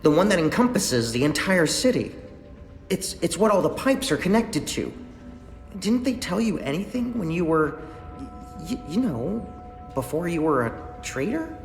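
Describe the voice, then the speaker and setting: A jittery young engineer who stammers and rambles. His voice sits fairly high and leaps up and down as he talks. The game audio rings with long, roomy reverb. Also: big pitch swings, unhurried, vocal fry, faint music behind, heavily acted. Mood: flustered. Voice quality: stammering, flustered, talkative. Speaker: lead engineer character. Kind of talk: video game voice acting.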